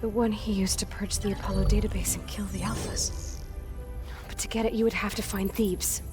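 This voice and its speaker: A woman's voice: mid-range voice, flat delivery, moderate pace, over music, lightly acted. A woman playing an anxious, brainy young woman in a video game, hesitant and pessimistic. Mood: grim, uneasy. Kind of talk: scripted video game cutscene acting.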